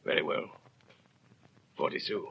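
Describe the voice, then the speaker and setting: An actor playing a kindly, mysterious gentleman traveller in a 1930s radio drama, measured and courteous in manner. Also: lightly acted. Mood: calm, polite. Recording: muffled 1930s radio recording, faint music.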